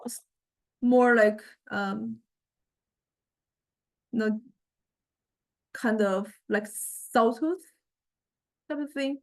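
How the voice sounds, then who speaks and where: Female lecturer, slightly high voice, informal software demo, tentative delivery with pauses to find words. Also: vocal fry. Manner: hesitant, thinking aloud, searching for words. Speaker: music technology lecturer.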